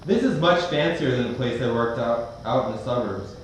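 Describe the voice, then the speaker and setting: A man's voice: medium pitch, animated, moderate pace, close to an everyday voice. A man playing a confident, superior veteran theater usher mentoring a newcomer in an amateur drama. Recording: very echoey room, distant, faint noise.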